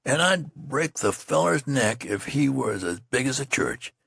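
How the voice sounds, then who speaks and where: A man's voice: medium pitch, very animated, measured pace, vocal fry, a bit bright, slightly rough, no room sound, studio quality, pitched above the performer's own voice, full character voice. A man voicing an indignant young soldier who talks in rustic, folksy dialect. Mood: aggrieved.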